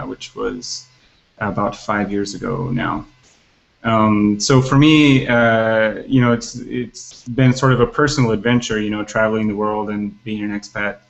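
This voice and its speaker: A man from the United States answering interview questions over a video call, halting with many fillers. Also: lowish voice, creaky, fairly bright tone, highs cut off. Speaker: guest, entrepreneur.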